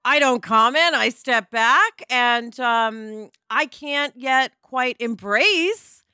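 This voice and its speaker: Bright-voiced American woman co-hosting a podcast. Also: fairly high pitch, slow, clean tone, dry recording, studio quality. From United States. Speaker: podcast co-host. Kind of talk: casual conversational podcast talk.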